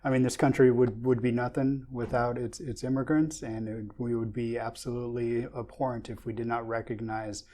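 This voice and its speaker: A man's voice: medium pitch, little pitch movement, moderate pace, few pauses, warm tone. A man from the Pacific Northwest hosting a union podcast interview, upbeat and formal in his intros.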